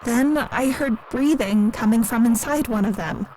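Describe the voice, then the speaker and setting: An actress playing a timid, lonely, naive museum worker in an audio drama, speaking hesitantly and earnestly in a recorded interview. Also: female voice, on the high side, unhurried, lightly acted, rough recording. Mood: hushed, recounting. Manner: hesitant, soft-spoken, earnest. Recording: clearly audible background noise.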